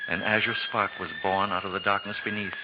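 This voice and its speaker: A man's voice: lowish voice, little pitch movement, fairly quick, very noisy. A man narrating a 1940s radio drama in a grand, poetic storytelling manner. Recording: dull vintage radio recording, loud music.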